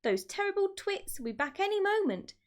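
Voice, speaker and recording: Woman putting on a frantic, high-pitched bossy monkey dad. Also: very animated, fairly quick. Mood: frantic urging. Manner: shouting urgent orders, snappish. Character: bossy, frantic, excitable father monkey ringleader. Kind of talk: children's storybook read-aloud.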